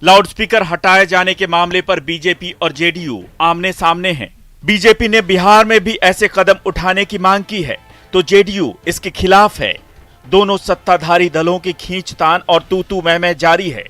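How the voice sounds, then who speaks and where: A man's voice: high-pitched, moderate pace, sharp tone, dry recording, faint music behind. A man reading a scripted news report as the reporter.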